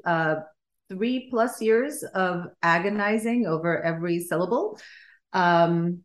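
Low-pitched woman, grew up in sweden, bulgarian first language, lots of ums and restarts, musing in an interview. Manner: thoughtful, many fillers and restarts. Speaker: playwright and adapter. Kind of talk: casual interview conversation.

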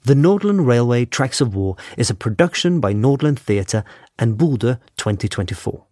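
A man reading a scripted podcast promotion as its creator, earnest and measured in his phrasing. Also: medium pitch, a little mellow, no echo, studio quality. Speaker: podcast creator presenting a sponsor message.

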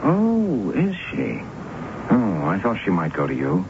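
An actor playing an earnest, lovelorn middle-aged husband in a 1970s radio drama. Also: male voice, low voice, huge pitch swings, a little slow, vocal fry, exaggerated voice. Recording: muffled hissy old radio broadcast.

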